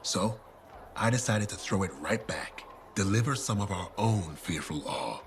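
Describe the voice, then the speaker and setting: Low-voiced showy game merchant. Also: male voice, lively intonation, unhurried, slight background noise. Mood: chatty, showy. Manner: chatty, theatrical, salesmanlike. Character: showy, self-deprecating male merchant, theatrical salesman. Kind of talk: video game dialogue acting.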